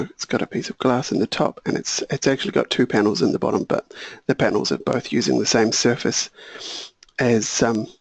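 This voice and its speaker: A man walking through a software tutorial, thinking aloud and correcting himself, a little hoarse. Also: mid-range voice, dry recording. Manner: relaxed, thinking aloud, small self-corrections. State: slightly hoarse, clearing throat. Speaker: software trainer. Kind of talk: screen-share software tutorial.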